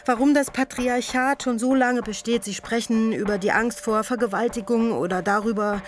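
A woman's voice: a little high, fairly even intonation, moderate pace, natural voice. A woman playing a world-weary, reflective actress in a confessional radio-play monologue. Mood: brooding, intense.

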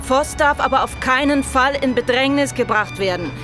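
A woman acts a hard, commanding police inspector in a radio drama. She is curt and hostile, her voice on the high side. Music and street sound play clearly behind her. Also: moderate pace, close to an everyday voice. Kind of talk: German radio drama acting.

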